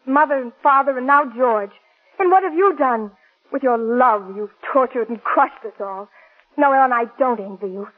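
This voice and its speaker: A woman's voice: sits high, moderate pace. An actress playing a long-suffering, earnest young woman with restrained firmness, in a 1940s radio drama. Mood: hurt, firm. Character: long-suffering, restrained young sister, gentle but firm. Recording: muffled, scratchy 1940s radio broadcast.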